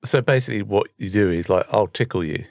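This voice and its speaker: A man's voice: lowish voice, fast, vocal fry, a little mellow. A British man co-hosting a podcast in casual comedic banter, teasing and sarcastic with plenty of swearing. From Britain. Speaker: podcast co-host.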